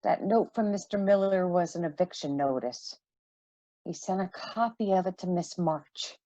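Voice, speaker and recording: A woman acting a troubled, apologetic mother in a modern radio play, speaking earnestly and haltingly. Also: fairly low pitch, warm tone, dry recording, dull audio, close to an everyday voice. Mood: guilty, apologetic.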